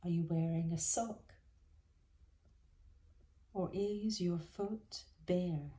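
A woman leading a guided meditation in a gentle, soothing, unhurried way with soft phrase endings. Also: fairly low pitch. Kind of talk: guided body scan meditation.

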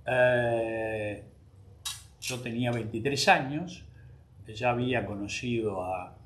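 An Argentine man in his sixties, co-hosting a comedy talk show and spinning unhurried personal anecdotes. His voice is at a middle pitch. The recording carries a roomy echo. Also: fairly bright tone. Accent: Argentine Spanish. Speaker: co-host. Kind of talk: casual comedic chat.

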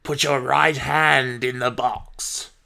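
A man's voice: mid-range voice, vocal fry, fairly bright tone. A man voicing an imperious old woman who taunts and threatens with cold control in an audiobook story. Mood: commanding. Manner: commanding, taunting, deliberate.

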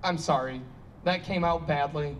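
A man's voice: on the high side, normal speed, natural voice. A man playing an awkward, defensive older character in a staged reading, hesitant and wry as he backpedals. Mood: awkward, evasive. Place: outdoor stage against a block wall. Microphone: handheld stage microphone, heard from afar. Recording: distant handheld mic, noticeable background noise.

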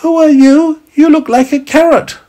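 A man voicing a small, friendly little boy in a bedtime story, in a high piping voice. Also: fairly even intonation, moderate pace, mellow. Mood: eager.

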